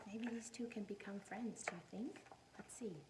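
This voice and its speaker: A woman teacher reading a picture book aloud to young children, animated and encouraging, often putting questions to her listeners. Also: medium pitch, noisy background. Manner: encouraging, animated, asks questions and pauses for answers.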